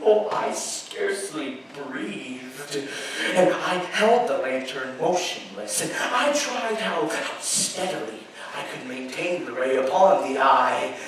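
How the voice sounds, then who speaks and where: A man playing a nervous, unhinged murderer in a theatrical gothic horror reading, halting and repeating himself between sudden outbursts. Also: medium pitch, expressive, a bit bright. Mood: tense, rising dread. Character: unhinged nervous murderer narrating his gothic crime, gleeful and frantic. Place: live show at an amusement park. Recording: echoey hall from far off, some noise.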